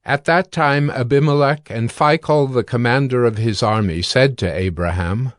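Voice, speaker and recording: A man narrating scripture from a script in a formal, measured way. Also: medium pitch, dry recording, studio quality. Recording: background music under the voice.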